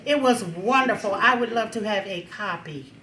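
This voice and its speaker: A woman's voice: medium pitch, sharp tone. A woman in her seventies with a big voice giving an informal, emphatic and outspoken speech to a gathering. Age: 70s. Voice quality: big, projecting voice. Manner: emphatic, outspoken, with pauses for effect. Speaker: reunion speaker. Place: echoey indoor gathering room. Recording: echoey room.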